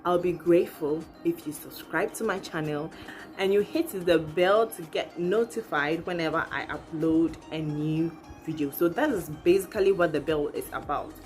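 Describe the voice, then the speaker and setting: Chatty low-voiced young Ghanaian woman, music behind. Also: moderate pace, darkish tone. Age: young adult. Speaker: YouTube channel host. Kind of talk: casual chat to camera. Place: living room, indoors. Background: background music.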